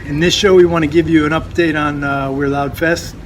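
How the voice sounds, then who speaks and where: A man's voice: fairly high pitch, fairly even intonation, brisk. A man co-hosting a casual prerecorded chat as a festival organizer, laid-back and swearing now and then. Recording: street noise and passing vehicles behind.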